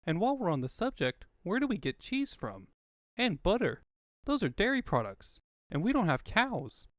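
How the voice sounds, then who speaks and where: A man's voice: high-pitched, big pitch swings, moderate pace, fairly bright tone, no echo, studio quality. A man voicing an earnest, anxious boy fox sidekick who muses and questions, in a comic book fandub. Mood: puzzled. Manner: questioning, musing.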